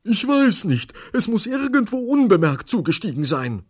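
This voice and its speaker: A man's voice: high-pitched, big pitch swings, normal speed, breathy edge, voice pushed up, full character voice. A man voicing a frantic, despairing male train conductor in a children's story, with a strained, agitated, pleading delivery. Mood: flustered, accusing.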